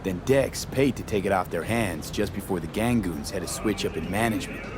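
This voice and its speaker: With music behind him, a man acts a young merc from a video game, pitched low. He is terse, tough and streetwise, getting straight to the point. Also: fairly quick, close to an everyday voice. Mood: matter-of-fact. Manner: clipped, blunt, streetwise.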